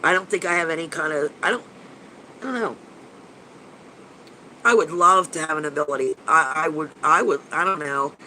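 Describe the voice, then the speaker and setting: Stuffy-nosed man in his fifties, bright voice. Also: fairly high pitch, normal speed, pauses often, slight background noise, poor audio. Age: 50s. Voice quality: nasal. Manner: rambling and gushing, with frequent restarts and casual swearing. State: stuffy and nasal from a cold. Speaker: paranormal investigator hosting a live chat. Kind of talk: casual livestream chat answering viewers.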